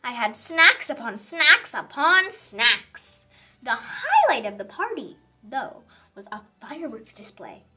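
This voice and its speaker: A woman's voice: high voice, sharp tone. A woman reading a children's picture book aloud in a theatrical, emphatic narrating style. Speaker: children's story reader. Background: music.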